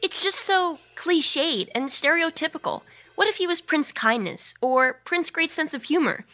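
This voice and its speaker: A precocious young girl, high and bright. She is sassy, blunt and opinionated, with very lively swings of pitch in a comedy audio play. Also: a little slow, faint music behind, full character voice. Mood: bossy, opinionated. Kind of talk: comedic audio drama acting.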